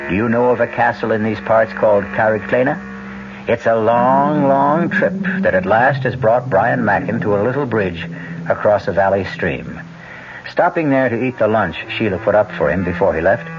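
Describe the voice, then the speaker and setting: Casting: male storyteller-host, deep, measured and portentous, dull vintage radio sound with music. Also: noisy background. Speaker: narrator and host of radio mystery series. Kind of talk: dramatic scripted storytelling narration. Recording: dull 1970s radio recording, music behind.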